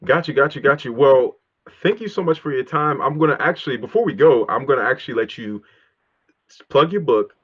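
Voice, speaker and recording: Fast-talking man host, dull lo-fi sound. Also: mid-range voice, breathy edge, no echo. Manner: fast, eager, slangy and friendly. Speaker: interview host. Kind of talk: casual interview conversation.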